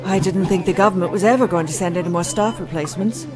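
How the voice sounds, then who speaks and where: A woman's voice: lowish voice, fast, music in the background, a crowd in the background, lightly acted. A woman playing a principled, no-nonsense doctor in an audio drama, blunt and sarcastic. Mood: brisk, suspicious.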